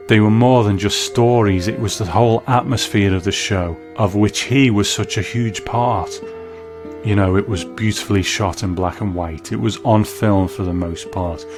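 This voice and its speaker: Low-voiced reflective male commentator. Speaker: host and reviewer. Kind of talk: scripted commentary.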